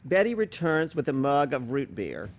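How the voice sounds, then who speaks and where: A man's voice: mid-range voice, big pitch swings, moderate pace, soft background music. A man giving scripted narration, reading stage directions at a staged reading.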